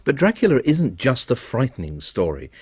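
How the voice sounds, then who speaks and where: A man's voice: medium pitch, wildly swooping pitch, normal speed, a little mellow, breathy edge, no room sound. Wry male radio documentary presenter. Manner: dry, wry understatement. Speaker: programme presenter. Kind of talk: scripted documentary narration.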